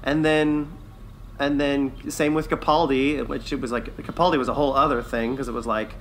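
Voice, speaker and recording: A man's voice: medium pitch, level tone, moderate pace, fairly bright tone, a little hiss or hum. A man co-hosting a podcast, talking in an enthusiastic, chatty way in a casual review discussion. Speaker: podcast co-host.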